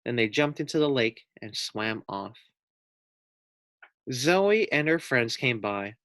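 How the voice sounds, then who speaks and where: A male teacher narrates a storybook for young children. He phrases each sentence deliberately and slowly, at a middling pitch, in a dry recording with no room sound. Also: expressive, fairly bright tone, no echo. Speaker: teacher reading aloud to first graders.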